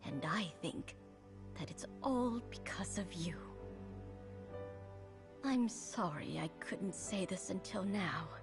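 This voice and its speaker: A slow-spoken kindly old lady. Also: mid-range voice, animated. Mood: grateful, reassuring. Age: older. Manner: tender, unhurried. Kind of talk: video game voice acting. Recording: music clearly behind the voice.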